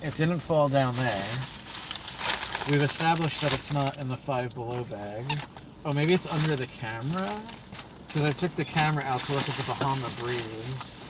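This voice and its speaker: A man's voice: medium pitch, normal speed, heavy background noise. A young man livestreaming from inside a parked car at night, chatting in a rambling, scattered way to a phone camera held at arm's length. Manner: rambling, self-talking, scattered. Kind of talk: casual livestream chat.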